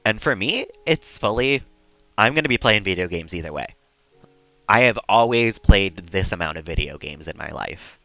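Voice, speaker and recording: A man chatting casually and calmly as he streams a video game, rambling a little as he plays. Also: low voice, normal speed, no room sound, lo-fi sound, faint music behind. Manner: calm, relaxed, rambling. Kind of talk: casual chat while gaming.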